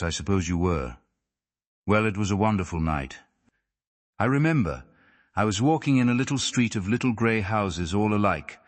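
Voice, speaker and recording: A man's voice: sits low, natural voice. A man voicing a mild, dreamy young husband who reminisces in a wistful, rambling way in an audiobook.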